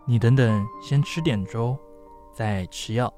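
A man acts out a caring young suitor in a radio drama. His voice is mellow and dark, gently insistent and attentive, with music playing underneath. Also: mid-range voice, a little slow, lightly acted. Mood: gentle concern. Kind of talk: audio drama acting.

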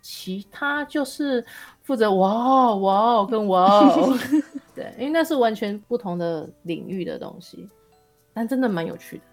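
A woman's voice: medium pitch, expressive, measured pace, faint music behind. A woman with a Taiwanese Mandarin accent, an author chatting casually as a podcast guest, telling things in a jokey way. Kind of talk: casual chat.

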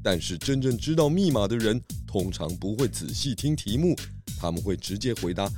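A man playing a calm, kindly pig detective in a children's audio drama, patiently explaining and gently instructing. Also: on the low side, normal speed, music in the background, close to an everyday voice. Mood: approving, explaining.

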